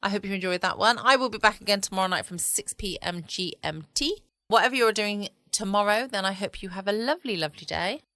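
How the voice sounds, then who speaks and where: Female podcast host, bright crisp tone, casual rambling chat, very dry close sound. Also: mid-range voice, lively intonation, quick pace, dry recording. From United Kingdom.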